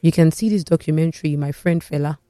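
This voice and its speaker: Guy hosting a chat show, a bit high voice, dry studio sound, lively and teasing. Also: fast, clean tone, no room sound. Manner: lively, teasing, animated questioning. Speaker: talk show host. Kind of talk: casual studio chat, reading viewer messages.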